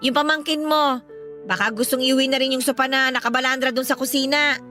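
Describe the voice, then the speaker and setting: Resentful filipino woman in a radio drama, high bright voice, snide and picking a fight. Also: steady tone, a little fast. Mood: irritated. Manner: sarcastic, confrontational. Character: bitter, resentful woman needling a housemate in her home. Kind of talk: Tagalog radio drama acting. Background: background music.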